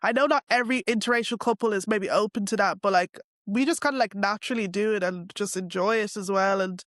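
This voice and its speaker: A woman's voice: medium pitch, fast, a bit bright, dry recording. A young woman talks frankly and earnestly as an interview guest, with plenty of "like" and "you know". Her voice sits mid-range for a woman. The sound is dry and close, with no room around it. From Ireland. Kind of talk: casual podcast interview.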